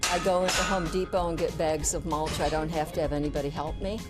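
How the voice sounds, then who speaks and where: An older woman talks casually about herself in an interview. Her voice is on the low side, and music plays clearly behind her. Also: steady tone, fast talker. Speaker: gym member being interviewed. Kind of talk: casual personal testimony.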